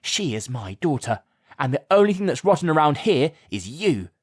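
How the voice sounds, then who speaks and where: A man's voice: on the high side, very animated, rapid-fire, a little mellow, slightly rough, no echo, studio quality, voice pushed up. A man voicing a protective mother who speaks up firmly. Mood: defiant. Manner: firm, raised voice. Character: protective mum, firm and defiant.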